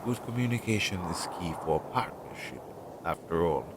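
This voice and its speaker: A young man playing an anxious, earnest and loyal crow familiar in a roleplay audio drama, hesitant and chatty as he thinks aloud, on a low-quality amateur recording. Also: sing-song, normal speed, noisy background. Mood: reassured, earnest. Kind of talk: roleplay audio drama, intimate one-sided dialogue.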